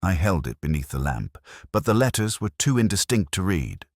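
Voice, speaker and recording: A man narrating a scripted first-person detective story in a measured, literary, reflective way. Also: deep voice, very animated, darkish tone, no echo, studio quality.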